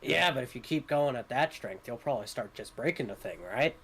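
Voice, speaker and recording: A man's voice: mid-range voice, expressive, fairly quick, fairly bright tone, slightly rough. A man playing a nosy, doubting male onlooker in a tabletop game, needling as he talks. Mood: skeptical. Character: nosy, skeptical male onlooker critiquing a craftsman.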